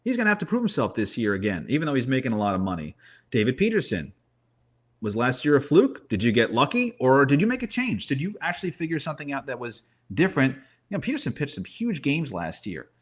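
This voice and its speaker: A man's voice: medium pitch, sing-song, fast talker. A man giving conversational sports commentary as a guest analyst, using rhetorical questions and emphatic repetition.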